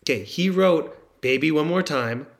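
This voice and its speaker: A man's voice: on the high side, measured pace, vocal fry. A young man co-hosting a casual comedic podcast, rambling and joking, often trailing off mid-thought, talking into a handheld microphone. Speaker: podcast co-host. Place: in France, apart from co-host.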